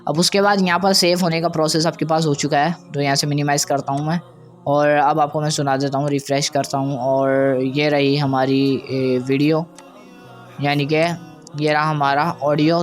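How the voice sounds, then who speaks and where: A man's voice: fairly high pitch, level tone, moderate pace, faint music behind. A man presenting an audio editing tutorial in a casual, conversational way, often checking that listeners follow. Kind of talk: informal software tutorial.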